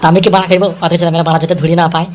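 Guy voicing cocky cartoon henchman, super fast, flat tone, music under. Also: a little high, close to an everyday voice. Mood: boastful. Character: boastful, scheming male henchman, eager to please. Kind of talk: amateur comedy cartoon dub.

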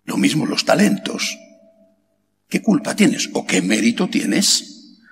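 Middle-aged priest, unhurried homily with gravelly edge, large chapel reverb, faint hiss. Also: male voice. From Spain. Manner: slow and emphatic, rhetorical questions, pitch leaps on key words. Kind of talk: sermon. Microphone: stand mic at lectern. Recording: long hall reverb, faint background hiss.

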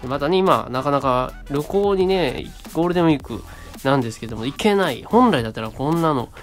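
A man's voice: on the high side, lively intonation, fast talker, soft background music. A man around thirty co-hosting a casual radio show, talking in short phrases with frequent little tag words.

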